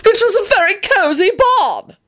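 A high, wildly swooping voice for an ancient male vampire in a storybook, acted out by a woman. He is theatrical and self-pitying, drawing out his haughty, old-fashioned phrases as if every one were a tragedy. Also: lively intonation, measured pace. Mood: smug. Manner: melodramatic, wailing, archaic and haughty phrasing. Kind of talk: audiobook character voice.

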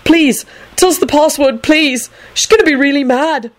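Woman as an excitable, high-pitched young man. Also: fairly quick. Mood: urgent. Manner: loud, eager, blunt. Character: excitable, knowing young man, blunt and eager.

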